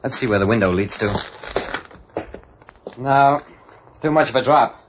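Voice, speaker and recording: An actor playing a brash, irritated young American husband, a slangy, blustering wisecracker, in old-time radio drama style. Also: male voice, mid-range voice, a little slow, background noise, natural voice. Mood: wary. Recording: dull old radio recording.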